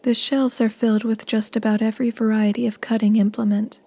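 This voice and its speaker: A woman's voice: a little high, flat delivery, brisk, dark tone. A woman performing the solemn scripted narration of a horror game dub. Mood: descriptive.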